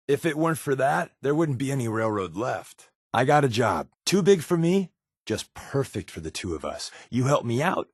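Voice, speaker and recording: Sly undercover rebel agent, American accent, lowish pitch, dry studio sound, sardonic casual quips, video game dialogue. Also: male voice, husky, no room sound, full character voice. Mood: wry, then earnest briefing. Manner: wry, casual, sardonic quipping. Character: wisecracking covert resistance agent, sly and irreverent adult man.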